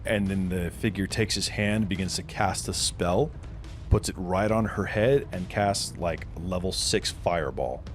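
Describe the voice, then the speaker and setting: Male game master, lower register, vivid improvised storytelling with dramatic description, light music underneath. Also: measured pace. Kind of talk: improvised tabletop game narration.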